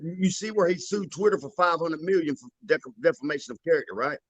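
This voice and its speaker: Man, slightly higher voice, blunt emphatic slangy talker, dry mic. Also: fast talker, no echo, muffled recording. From North Carolina, US. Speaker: guest, tribe member. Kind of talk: casual chat.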